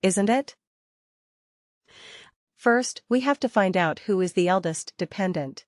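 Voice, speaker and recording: A woman working as a software trainer, teaching interactively step by step, repeating key points and posing questions to learners. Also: medium pitch, lively intonation, measured pace, no echo, studio quality.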